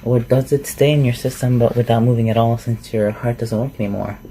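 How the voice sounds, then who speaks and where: An awkward, inquisitive shapeshifter living as a forest recluse, acted out by a performer for a fantasy roleplay ASMR. The voice sits at a medium pitch and rambles through hesitant questions. Noticeable noise runs under the recording. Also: little pitch movement, a little fast, dark tone, lightly acted. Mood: curious. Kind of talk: roleplay ASMR audio acting. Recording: clearly noisy home recording.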